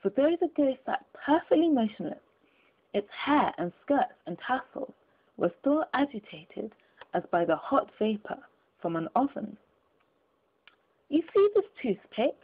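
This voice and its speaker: A woman's voice: a little high, expressive, a little slow. A woman reading a classic story aloud as an audiobook, in dramatic scripted narration. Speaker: audiobook reader. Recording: thin, phone-like lo-fi audio.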